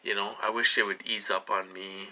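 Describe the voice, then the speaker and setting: This is a man of about forty-eight, with a somewhat low voice that has a strikingly tense, forceful edge. He rambles like a solo vlogger, stopping and starting. He often repeats a question or phrase before moving on. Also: little pitch movement, poor audio. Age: late 40s. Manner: repetitive, halting, dwelling on questions. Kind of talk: rambling personal monologue.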